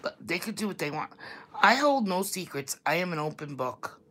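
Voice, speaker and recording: A woman speaking in a very low, deep voice with a thick Staten Island New York accent. She chats bluntly and loosely to her viewers as if on a livestream, laughing now and then. The sound is a plain home computer mic. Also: sing-song, normal speed, sharp tone, breathy edge. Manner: chatty and blunt, laughs often. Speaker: YouTube vlogger. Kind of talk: casual livestream chat to viewers.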